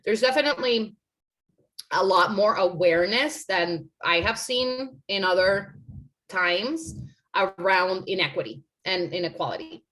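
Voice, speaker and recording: A woman's voice: medium pitch, expressive, a little slow, fairly bright tone, poor audio. A woman from Puerto Rico answering questions on a panel, earnest and conversational, often adding "like" and "right?". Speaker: panel speaker.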